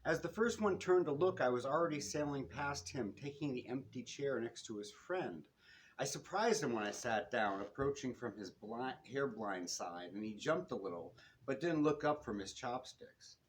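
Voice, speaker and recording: Guy reading his detective novel out loud at a bookstore, kinda expressive, bit of background noise. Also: mid-range voice, moderate pace. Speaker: author reading own novel. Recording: noisy live room recording.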